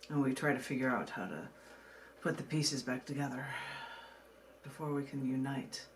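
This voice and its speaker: A woman's voice: a bass voice, moderate pace. Woman reading poetry over background noise. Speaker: poet reading own work. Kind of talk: poetry reading with casual asides.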